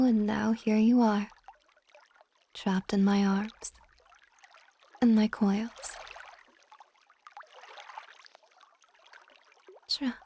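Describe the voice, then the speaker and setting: Slow, mellow dragon goddess, acted by a woman. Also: medium pitch. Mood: possessive, tender. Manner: slow, soft, intimate, often slipping into whispers. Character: ancient female water dragon goddess, possessive, tender, teasing. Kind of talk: ASMR romance audio roleplay.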